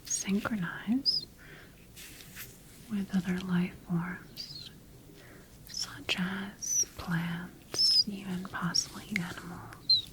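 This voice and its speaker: A woman whispering breathily in an ASMR sci-fi roleplay as a scientist studying her creation, speaking deliberately with pauses. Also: on the low side, steady tone, rough recording. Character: quietly rogue female scientist studying her engineered creation. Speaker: ASMR performer.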